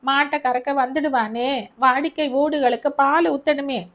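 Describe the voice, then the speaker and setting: Shrill, lamenting poor old mother, voiced by a woman, bitter and loud. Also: steady tone, unhurried. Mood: complaining. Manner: wailing, complaining, colloquial. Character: grieving, angry poor older mother wailing.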